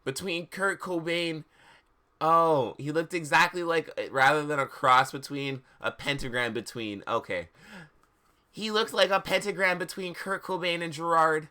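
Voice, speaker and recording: A man with a fairly high, bright and forceful voice hosts a reading stream. He reads fan fiction with theatrical drama and chats casually with his audience. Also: a little slow.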